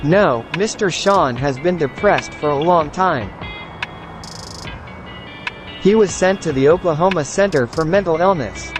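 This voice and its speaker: Kindly, measured male mentor, acted by a man, higher-pitched, audio-novel drama, music clearly behind. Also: animated, close to an everyday voice. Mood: calm. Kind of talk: scripted audio novel dialogue.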